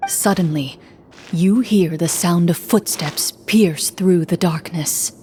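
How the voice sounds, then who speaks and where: A woman calmly narrating a game story in a fan voice reading, guiding listeners step by step. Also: fairly low pitch, a little hiss or hum. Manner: calm, slow storytelling.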